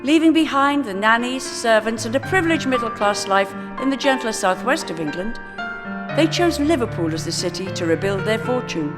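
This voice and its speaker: A woman delivers a scripted promotional voice-over. Her voice sits a little high, with long reverb and music clearly underneath. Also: lively intonation, moderate pace. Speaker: promotional narrator.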